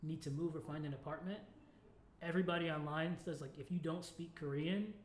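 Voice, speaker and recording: A man's voice: on the high side, fairly even intonation, brisk. A young American man co-hosting a podcast, chatting casually and informally, often dropping in the word "like". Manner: informal, peppers speech with "like". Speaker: podcast co-host. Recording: echoey room with faint background noise.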